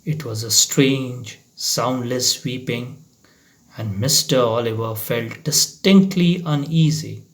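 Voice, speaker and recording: A man reading a short story aloud in a dramatic, deliberate storytelling manner. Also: mid-range voice.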